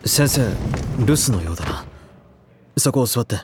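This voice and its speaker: An actor playing a serious, restrained and tormented high-school boy in a Japanese audio drama, speaking in a solemn, measured way. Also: lively intonation, background noise, lightly acted. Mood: concerned, calm. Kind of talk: Japanese audio drama acting, inner monologue and dialogue.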